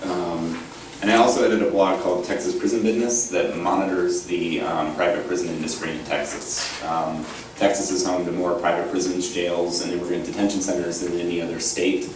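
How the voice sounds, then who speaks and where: A man with a very low, flat voice, a little gravelly from a cold, speaking on a conference panel with frequent ums. He is heard from a distance in a very echoey hall, and noise runs under his voice. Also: fairly quick. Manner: hesitant, many ums. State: has a cold, slightly gravelly. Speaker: panelist from an advocacy group. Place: university conference room.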